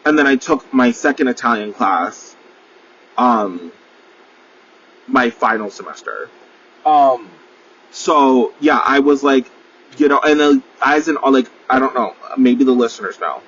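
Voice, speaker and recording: A young man rambles through a solo podcast, piling up fillers and casual swearing as he pokes fun at himself. His voice sits at an everyday middle pitch. The recording sounds a little muffled, with a faint hiss underneath. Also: animated, measured pace, long pauses, fairly bright tone. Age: 20s. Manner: rambling, full of fillers, swears freely, self-deprecating. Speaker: podcast host.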